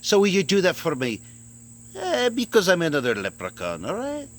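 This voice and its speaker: A man's voice: sits high, huge pitch swings, moderate pace, pitched above the performer's own voice. A man voicing a gossipy male leprechaun with a put-on Northern Irish lilt, folksy, rambling and chuckling. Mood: wheedling.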